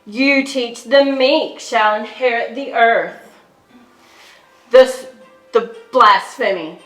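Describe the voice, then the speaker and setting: A woman's voice: on the high side, takes it slowly, a bit bright, soft background music. A woman playing a scheming, scornful pagan queen mother in a theatrical stage reading, haughty and declamatory. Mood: scornful fury. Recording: echoey stage hall.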